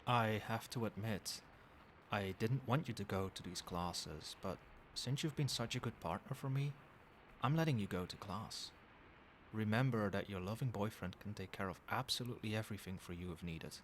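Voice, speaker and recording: A man playing a doting yet controlling, quietly menacing boyfriend in a soft-spoken ASMR roleplay, coaxing tenderly with pet names. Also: fairly low pitch, moderate pace, natural voice. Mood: soothing, doting. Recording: steady background noise, night ambience. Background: rain and cricket sounds.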